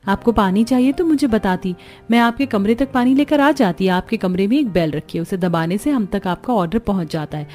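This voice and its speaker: A woman's voice: mid-range voice, brisk, a little mellow, soft background music, natural voice. A woman voicing a deferential, slightly anxious housemaid in a cartoon. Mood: anxious, helpful.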